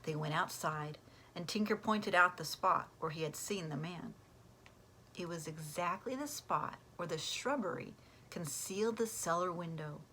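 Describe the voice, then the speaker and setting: A woman reading a children's storybook aloud like a teacher, in an expressive way that acts out the characters. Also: fairly low pitch, measured pace, a little hiss or hum. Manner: animated, acts out character voices.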